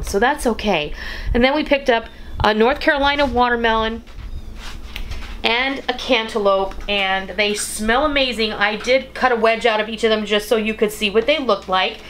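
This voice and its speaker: A woman's voice: on the high side, fairly bright tone. A middle-aged woman giving a casual, chatty home vlog narration in a folksy, enthusiastic way. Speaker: home cooking vlogger and host. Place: room at home. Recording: clear background noise.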